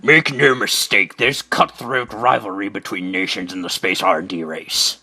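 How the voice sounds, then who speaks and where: A man acts out a pompous older director from a video game, secretive and theatrical. The voice is low and bright with a heavy creak. He declaims over faint music in an amateur fandub. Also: normal speed, full character voice. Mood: emphatic. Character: pompous older male space center director, secretive and rattled.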